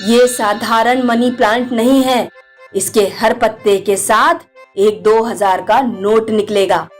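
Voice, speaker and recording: A woman's voice: fairly high pitch, fairly even intonation, takes it slowly, faint music behind, voice pushed down. A woman voicing a solemn, benevolent goddess in a storybook tale. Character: solemn benevolent goddess granting a magical gift.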